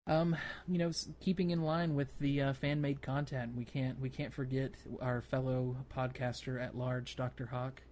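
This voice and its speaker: A man co-hosting a casual gaming podcast, talking in a halting, rambling way with repeated words. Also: mid-range voice, flat delivery, a little mellow, slight background noise. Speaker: podcast co-host.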